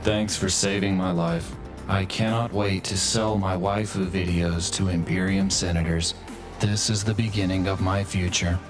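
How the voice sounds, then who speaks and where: An actor playing a pathetic, self-loathing office drone in a video game, speaking in a stilted, formal, morose deadpan. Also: male voice, low-pitched, normal speed, over music, close to an everyday voice. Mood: deadpan, newly resolved. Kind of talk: video game voice acting, melodramatic monologue.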